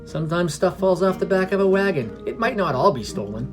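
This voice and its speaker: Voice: mid-range voice, lively intonation, brisk, pitched above the performer's own voice, close to an everyday voice. A streetwise female rogue, read by a story narrator. She is nonchalant and frank, explaining things matter-of-factly. It is a fantasy audio story with guitar music behind.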